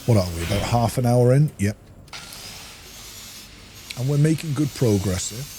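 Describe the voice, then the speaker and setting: A man's voice: mid-range voice, expressive, normal speed, warm tone, a little hiss or hum. A man giving a casual gameplay walkthrough, explaining things in practical asides to the viewer. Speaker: gaming video host.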